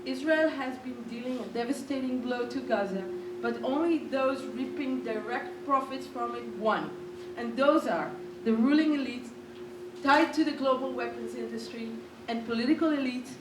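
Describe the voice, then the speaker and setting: Woman moderator, earnest prepared speech, slightly high voice, distant mic in an echoey hall. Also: moderate pace, background noise. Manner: earnest, read from notes. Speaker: event moderator. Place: lecture hall.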